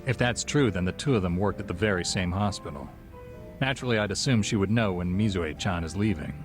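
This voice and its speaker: A shrewd bartender played by a man in a game cutscene, with a low voice and a measured, composed delivery. He is dry and discreet, and music plays clearly behind him. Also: close to an everyday voice. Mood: calm, helpful. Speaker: video game character. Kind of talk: dramatic game cutscene acting.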